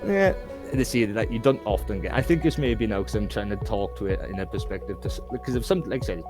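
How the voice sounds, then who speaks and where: Deep-voiced Welsh man, candid and rambling. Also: expressive, quick pace, over music. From Wales. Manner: candid, rambling, frequent "do you know what I mean". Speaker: guest. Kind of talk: casual conversation.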